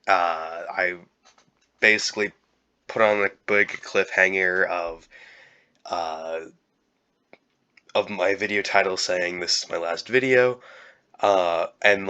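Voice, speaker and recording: A young man with a cold, talking in a halting, casual way with many fillers and restarts. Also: low voice, pushed and bright, smooth, lo-fi sound. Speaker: YouTuber. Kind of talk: casual year-end vlog.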